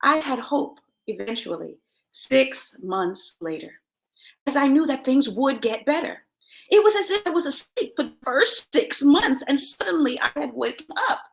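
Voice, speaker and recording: A woman from Jamaica hosting a self-help show, giving motivational talk and personal stories in an emphatic, expressive way, repeating key words for effect. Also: high-pitched, moderate pace, gravelly, low-quality recording.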